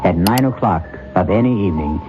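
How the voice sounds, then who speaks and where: A man's voice: low voice, lively intonation, fairly quick, rough recording. An actor as the solemn male narrator of a suspense story, reading scripted old-time radio narration. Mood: solemn, suspenseful. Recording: phone-thin old radio recording, music behind.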